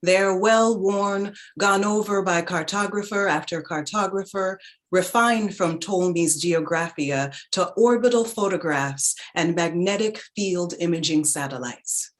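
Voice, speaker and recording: A reflective narrator in a literary stage drama played by a woman, remembering childhood. Her voice is a little low. She reads in a measured, deliberate way. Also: lightly acted. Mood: solemn, meditative. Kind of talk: staged reading of a literary play.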